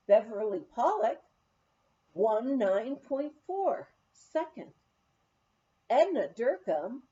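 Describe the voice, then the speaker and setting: A woman reads a memoir aloud in a fairly high voice with very animated intonation. She takes her time between phrases, slow and deliberate. The recording is slightly dull and lo-fi. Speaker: audiobook reader.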